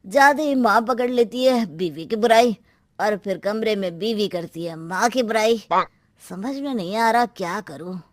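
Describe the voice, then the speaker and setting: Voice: medium pitch, normal speed, dry recording, studio quality, natural voice. An actor playing a harried, grumbling married man who works in an office, in a cartoon drama. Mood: exasperated. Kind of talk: cartoon family drama dialogue.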